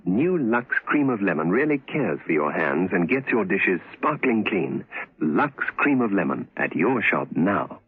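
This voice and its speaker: A man's voice: sits low, very animated, measured pace, husky, dry recording. A man announcing a 1970s radio commercial with a persuasive salesman's delivery. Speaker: advert announcer and interviewer. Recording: tinny old radio advert recording.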